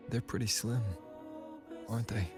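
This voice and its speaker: A man's voice: mid-range voice, fairly even intonation, moderate pace, over music, close to an everyday voice. An actor playing a serious, subdued male protagonist in dramatic video game dialogue. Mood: somber.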